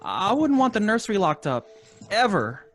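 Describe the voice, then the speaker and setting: A man's voice: high-pitched, huge pitch swings, normal speed, music in the background, heavily acted. An actor playing a young boy who sulks and makes veiled threats toward his father in a live audio drama. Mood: pleading, sulky. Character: defiant young boy, the couple's son.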